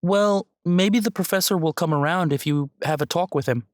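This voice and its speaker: A gentle, tentative young female student voiced by a woman in an audio drama. Her voice sits low for a woman, and she speaks in a conciliatory, hesitant way. The recording is dry and close. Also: normal speed, dry recording, close to an everyday voice. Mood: hopeful, tentative.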